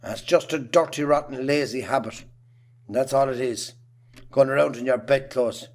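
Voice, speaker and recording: Man acting a gruff, scolding Irish farmer father. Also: medium pitch, fairly even intonation, normal speed, natural voice. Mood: indignant, scolding. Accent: rural Irish. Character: domineering, disapproving Irish farmer father of grown children. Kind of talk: amateur radio-style stage drama acting.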